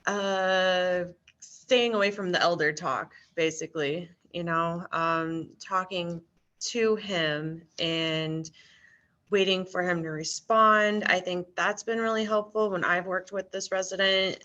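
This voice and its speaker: A woman's voice: fairly low pitch, steady tone, slow, sharp tone, muffled recording. A woman care worker talking hesitantly about a resident in a case discussion over a video call. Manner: hesitant, many fillers. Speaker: care staff member.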